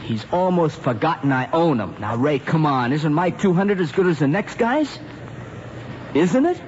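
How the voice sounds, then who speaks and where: A man's voice: on the high side, normal speed, lightly acted. An actor playing an eager, restless American teenage boy with an insistent, slangy way of talking in a family drama. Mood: pleading, eager. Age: young adult. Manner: insistent, excitable. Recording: muffled, noisy old drama recording.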